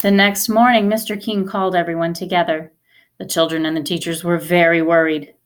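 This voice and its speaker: A woman in her thirties reading a children's storybook aloud like a teacher, expressively and with deliberate emphasis on repeated words. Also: fairly low pitch, level tone. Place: small indoor room.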